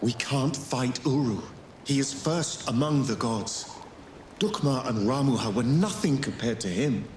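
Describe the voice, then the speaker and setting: An actor playing a grave, repentant god of death in a video game cutscene, solemn and weary. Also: male voice, medium pitch, unhurried, a little hiss or hum, natural voice. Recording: echoey long reverb under loud music.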